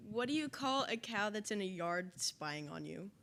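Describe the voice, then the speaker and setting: A woman's voice: medium pitch, lively intonation, slow, a bit bright. A woman from the audience chatting casually with a panel guest. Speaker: audience member asking a question. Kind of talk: casual chat.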